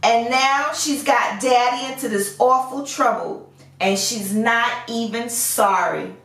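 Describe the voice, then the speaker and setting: A woman's voice: on the high side, slow, fairly bright tone. A woman voicing a spiteful, complaining elder sister in a storybook, whiny and accusing, in an echoey recording. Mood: resentful. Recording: echoey room, far from the mic.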